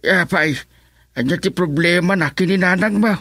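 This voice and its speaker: A man's voice: high-pitched, moderate pace, sharp tone, no echo, clean studio sound, heavily acted. A man acting an easygoing, reassuring grandfather in an Ilocano radio drama.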